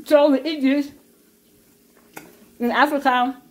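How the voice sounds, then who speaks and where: A woman's voice: sits high, fairly even intonation, slow. A middle-aged woman casually walking through a recipe in her home kitchen, explaining each step with many fillers. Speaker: cooking vlogger. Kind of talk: casual cooking demonstration.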